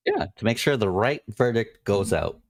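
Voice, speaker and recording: A man co-hosting a podcast in casual chat, dropping quick dry quips and teasing remarks. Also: fairly low pitch, animated, dry recording. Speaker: podcast co-host.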